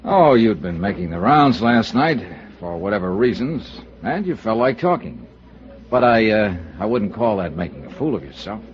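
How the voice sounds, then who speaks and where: Dry-witted investigator, American, lively low delivery, dull vintage radio sound. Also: male voice, normal speed, a little hiss or hum. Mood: matter-of-fact. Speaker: lead actor in a radio detective drama. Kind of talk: old-time American radio acting. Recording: muffled old radio broadcast recording.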